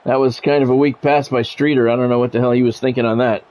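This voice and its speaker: A man calling live play-by-play of a football video game in measured, short clipped announcer phrases. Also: mid-range voice, level tone, a little mellow. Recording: dull lo-fi audio with music behind.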